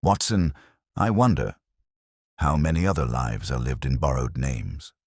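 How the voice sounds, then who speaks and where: A man playing a cool, precise Victorian gentleman detective in an audiobook, probing and solemn. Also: very deep voice, unhurried, husky, no echo, studio quality, full character voice. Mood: pensive.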